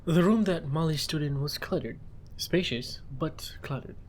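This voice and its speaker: A man's voice: a little high, a little slow. A man narrating a story in a conversational, informal way with wry asides.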